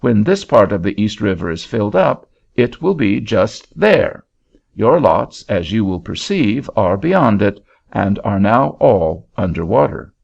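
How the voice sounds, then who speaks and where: A man voicing a helpful local farmer who speaks calmly and matter-of-factly. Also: fairly low pitch, a little slow, gravelly, heavily acted. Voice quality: calm, matter-of-fact. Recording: dull lo-fi recording.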